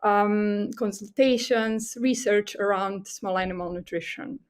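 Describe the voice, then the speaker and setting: A woman's voice: medium pitch, measured pace, smooth. Woman with a Croatian accent, explaining hesitantly. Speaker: guest expert, assistant professor. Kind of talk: conversational podcast interview.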